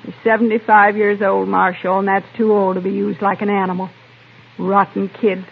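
Creaky, blunt frontier wife. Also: female voice, mid-range voice, little pitch movement, normal speed. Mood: bitter, stoic. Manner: blunt, folksy, clipped phrases. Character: hard, plain-spoken frontier homestead wife, bitter and stoic. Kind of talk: old-time radio western acting. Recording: narrow-band vintage radio recording.

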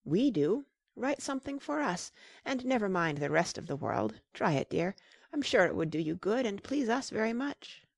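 A woman playing a gentle, loving middle-aged mother in an audiobook, speaking tenderly and reassuringly. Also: medium pitch, sing-song, moderate pace, vocal fry, no echo. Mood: gently encouraging. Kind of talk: dramatized audiobook character reading.

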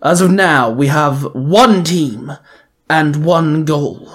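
A man's voice: fairly high pitch, measured pace, a little mellow, natural voice. A man voicing Mister Pocket, a commanding male boss of a mutant crew who speaks in firm, rallying speeches. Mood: urgent.

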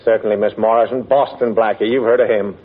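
Actor as gruff, sarcastic, husky police inspector. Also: male voice, fairly low pitch, fairly even intonation, fast, close to an everyday voice. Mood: smug, accusing. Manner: blustering, sardonic. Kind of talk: 1940s radio acting. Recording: dull vintage 1940s radio recording.

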